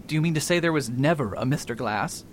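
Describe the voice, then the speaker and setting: A proud, skeptical doctor played by a man in a radio play, impatient and brisk. His voice sits a little high. A faint rumble hums under the recording. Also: expressive, natural voice. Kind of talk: amateur radio drama acting.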